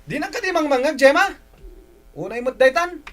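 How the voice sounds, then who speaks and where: A woman playing a loud, mocking schoolchild in a radio drama, calling out taunts. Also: high voice, animated, moderate pace. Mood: taunting. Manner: shouting taunts.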